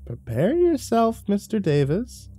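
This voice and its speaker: A squeaky, gleeful devil squirrel. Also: male voice, huge pitch swings, unhurried, dark tone, faint music behind, heavily acted. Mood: scheming. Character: mischievous foul-mouthed male squirrel, Lucifer in disguise.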